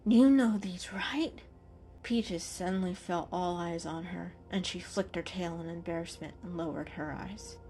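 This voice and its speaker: A woman's voice: fairly low pitch, flat delivery, moderate pace, few pauses, a little mellow. Woman narrating a storybook over music.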